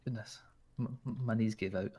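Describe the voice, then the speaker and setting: A man's voice: lowish voice, fairly even intonation, normal speed, mellow. A man with a Scottish accent talking in character during a roleplay, flirtatious and teasing. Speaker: roleplay player.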